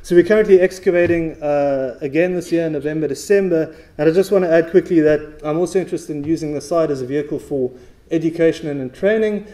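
A middle-aged man presents research at a podium in a big, dark auditorium. His voice is mellow and sits a little high. He talks in a measured, lecturing way and fills his pauses with uh. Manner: measured lecturing with frequent uh fillers. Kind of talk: conference presentation. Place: stage of a large dark auditorium.

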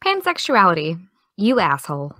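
A woman's voice: fairly low pitch, huge pitch swings, normal speed, fairly bright tone, no room sound. A young actress playing an exasperated, defensive young woman with dry comebacks in an audio drama. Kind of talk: audio drama dialogue of a video call.

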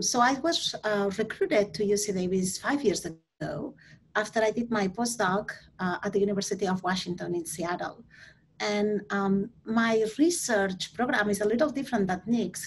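A woman's voice: medium pitch, normal speed, slight background noise. A woman from Spain with a Spanish accent, a scientist introducing herself informally on a video call. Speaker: research scientist, guest speaker.

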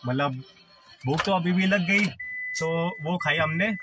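A man's voice: a little high, slow, very noisy. A man in his twenties chatting casually to viewers from a phone held at arm's length, joking with a friend. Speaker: vlogger. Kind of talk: casual vlog chat. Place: concert hall, outdoors, then inside a car.

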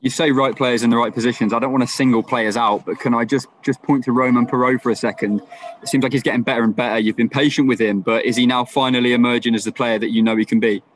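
Fast-talking male reporter asking questions. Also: mid-range voice, fairly even intonation. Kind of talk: press conference question.

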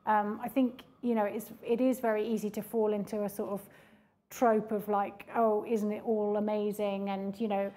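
Woman speaker at a small public talk, reflective and halting, even and mellow delivery. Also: mid-range voice. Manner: reflective, with many fillers and restarts. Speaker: project presenter at a panel talk. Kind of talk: public Q&A discussion. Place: mid-sized indoor event room with audience.

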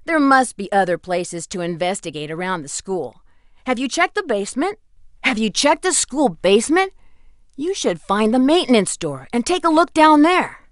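A woman's voice: a little high, big pitch swings, normal speed, no echo, studio quality. A woman playing a concerned friend who gives helpful, urging hints in a video game. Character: concerned female friend giving a detective hints. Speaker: video game character actor. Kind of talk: scripted game dialogue.

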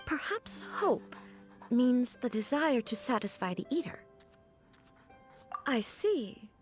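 Game role: dignified warrior sovereign, higher female voice, formal and composed, music underneath. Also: big pitch swings, slow pace. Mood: earnest, thoughtful. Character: serene, dignified female ruler, a warrior devoted to eternity. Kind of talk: scripted video game character dialogue.

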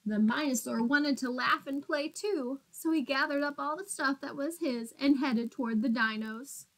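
A woman's voice: sits high, normal speed, a bit bright, low-quality recording. A woman in her twenties, a teacher reading a storybook and talking simply to small children. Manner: simple explanatory phrasing with questions to children. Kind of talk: storybook read-aloud and talk to small children. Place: small plain room indoors.

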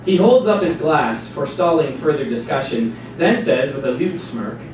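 A man narrating a scripted literary text in a live stage reading, wry and deliberate. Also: a little high, expressive, crowd noise. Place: small indoor theatre stage before an audience. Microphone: far camera. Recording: distant, very echoey, noisy live stage recording.

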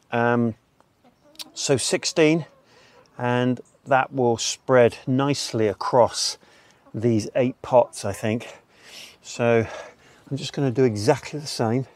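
A man's voice: medium pitch, a little mellow. An older man talking casually as he works on his allotment, explaining each step as he goes. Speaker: allotment gardener. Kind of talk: casual gardening vlog. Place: outdoor garden allotment. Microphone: camera mic on a tripod at a distance.

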